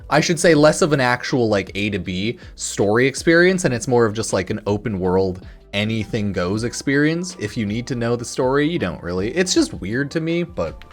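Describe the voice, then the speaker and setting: A man's voice: mid-range voice, lively intonation, normal speed, hardly any pauses. A man doing casual, off-the-cuff news commentary as a gaming video creator, rambling and opinionated in slangy speech. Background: music.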